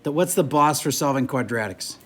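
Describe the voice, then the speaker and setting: A man's voice: medium pitch, expressive, fairly quick, a bit bright. A male math teacher lecturing a classroom in a chatty, joking way while losing his voice. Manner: chatty, jokey, moves around the room.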